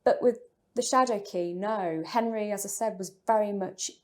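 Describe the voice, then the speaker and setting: A woman's voice: mid-range voice, moderate pace, a little mellow. An English woman, a novelist, talking through her writing in an interview, thoughtful and full of small fillers and restarts. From England. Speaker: novelist guest. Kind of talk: interview conversation.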